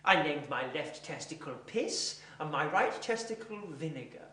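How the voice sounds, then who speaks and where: Male actor as eccentric pompous man, deadpan comic monologue, deliberate dramatic pauses, echoey distant recording. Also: a little high. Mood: quiet, deadpan. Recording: echoey room, distant mic.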